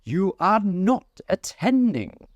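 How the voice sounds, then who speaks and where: A man voicing a touchy, melancholy mouse with a severe, sharp manner in a storybook reading. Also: sits high, very animated, takes it slowly, no room sound, lo-fi sound, pitched above the performer's own voice, full character voice. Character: touchy, easily offended, melancholy male mouse.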